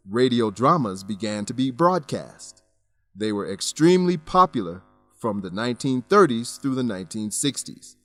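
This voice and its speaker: A man narrating a scripted English lesson, enunciating deliberately as he explains words and grammar. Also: medium pitch, a bit bright, no room sound.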